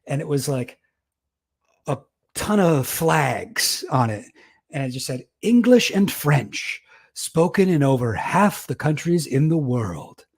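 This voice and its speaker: A man's voice: fairly high pitch, very animated, takes it slowly. A young man casually commenting on a livestream, rambling, self-deprecating and laughing often. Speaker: streamer reacting to a debate.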